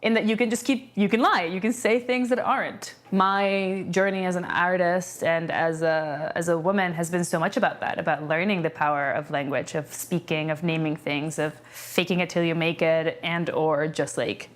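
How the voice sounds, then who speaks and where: Woman, late 20s, documentary interviewee; lowish voice, reflective, casual storytelling with lots of "like". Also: fairly even intonation, normal speed.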